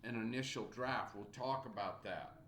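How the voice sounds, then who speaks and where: A man in his sixties, a college writing instructor giving a recorded video lecture at his webcam at home. He talks slowly and repeats himself in an encouraging way, clearing his throat often. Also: mid-range voice, fairly bright tone. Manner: slow, repetitive, encouraging teacher talk with frequent throat clearing. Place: small home room indoors. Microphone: webcam at desk. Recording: echoey room with faint background noise.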